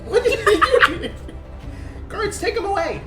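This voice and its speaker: Woman playing a high, imperious fantasy king. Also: sing-song, measured pace, heavily acted. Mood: alarmed. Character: high-strung, commanding male fantasy king. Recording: roomy echo, music underneath.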